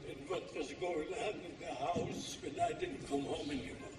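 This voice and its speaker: Older man, keynote speaker, halting plain delivery, distant mic in a roomy hall. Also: a little high. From Poland. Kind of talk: personal testimony speech. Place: large hall, live event. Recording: echoey hall from far off with noise.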